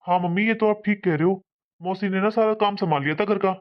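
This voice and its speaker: A man voicing a helpful, easygoing young adult son in an animated family drama, talking in a practical, reassuring, casual way. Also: high voice, quick pace, natural voice. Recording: dull, lo-fi sound.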